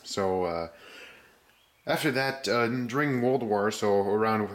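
A man with a fairly low voice gives a casual walkthrough of his restoration work. He searches for words as he goes, in a halting way. He is picked up indoors by a handheld camera's microphone. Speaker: hobbyist restorer presenting a rebuilt radio. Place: indoors, room with concrete floor.

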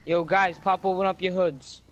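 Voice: mid-range voice, steady tone, normal speed, a little hiss or hum. Dull lo-fi gamer voice in casual roleplay. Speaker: player in a game roleplay. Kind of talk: casual improvised roleplay chat while gaming. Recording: dull lo-fi gaming headset chat.

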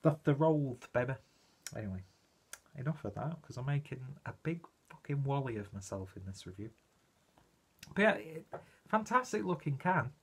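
A man's voice: mid-range voice, very animated, moderate pace, vocal fry, pauses often, slightly rough. A British man giving a casual, rambling video review, chatty and self-deprecating as he goes. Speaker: beer reviewer presenting own video channel.

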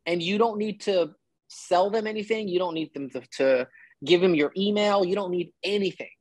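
A man's voice: sits high, moderate pace, a little mellow, no room sound. A man in his thirties giving casual, emphatic and blunt advice as a coach on a one-on-one video call. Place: indoor room, video call.

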